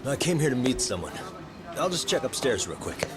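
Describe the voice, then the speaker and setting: A man's voice: medium pitch, very animated, brisk, loud music behind the voice, natural voice. An actor playing a dogged, street-smart male detective in an English video game dub, guarded and sardonic in a casual, tough way. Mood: probing.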